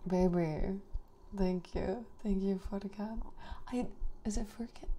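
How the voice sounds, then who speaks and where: A woman hosting an ASMR livestream, chatting softly and intimately in a breathy, often whispered voice close to the microphone. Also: mid-range voice, fairly even intonation, a little slow, slight background noise.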